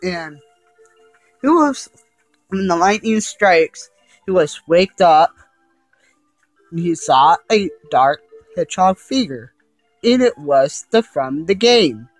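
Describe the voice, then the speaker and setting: A man with a slightly high voice tells a creepy story in an informal, amateur way. He goes slowly and haltingly, rambling and circling back over the same phrases. The sound is close and dry. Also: expressive, no echo, faint music behind, low-quality recording. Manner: halting, rambling, repetitive delivery. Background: ticking and chiming clock.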